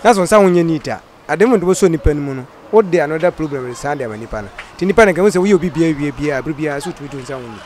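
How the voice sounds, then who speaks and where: A man's voice: on the high side, expressive, racing, few pauses, darkish tone, a little hiss or hum. A middle-aged man answering a street interviewer, earnest, mixing Twi with English words. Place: outdoors by an office doorway. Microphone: handheld mic held by interviewer.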